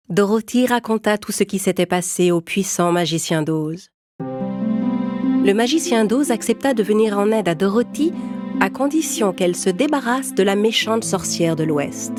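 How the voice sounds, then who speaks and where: A woman reading scripted narration for a children's fairy tale as the storyteller. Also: mid-range voice.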